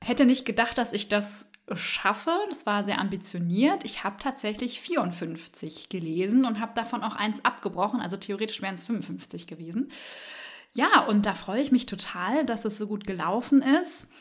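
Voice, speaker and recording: A woman's voice: medium pitch, animated, moderate pace. A woman co-hosting a book podcast in casual chat, friendly and enthusiastic. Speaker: podcast co-host.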